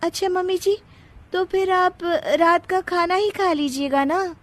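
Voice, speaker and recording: Woman as lazy young daughter-in-law, very high voice, slow, whiny, cheeky, cartoon story. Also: a bit bright. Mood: nonchalant. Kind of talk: Hindi cartoon family story.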